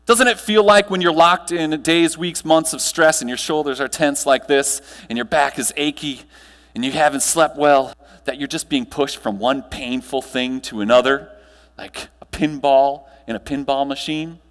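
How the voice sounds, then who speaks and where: An American man in his thirties giving a motivational conference keynote, projected and emphatic, with deliberate pauses and rhetorical questions. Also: on the high side, fairly bright tone. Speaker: keynote speaker and trainer. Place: large hotel ballroom stage. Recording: long hall reverb from ballroom PA.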